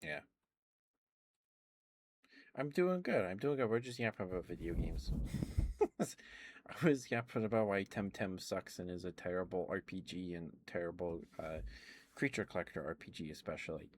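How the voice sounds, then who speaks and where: A man softly rambling about video games in a relaxed ASMR stream, with many ums and uhs. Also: deep voice, slight background noise. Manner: relaxed, quiet and unhurried.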